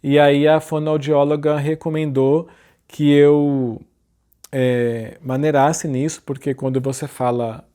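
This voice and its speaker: A man in his forties with a Brazilian Portuguese accent, hosting a design tutorial channel in a chatty, informal way and often adding tag questions, speaking from a small dark room. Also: on the high side, little pitch movement, slow, clear, resonant tone. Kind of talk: casual talk to camera.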